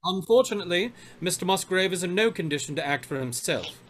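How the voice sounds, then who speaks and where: A man's voice: high voice, expressive, brisk, a bit bright. A man playing a stern, officious country doctor in a radio mystery, formal, pompous and unyielding. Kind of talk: old-time radio mystery acting.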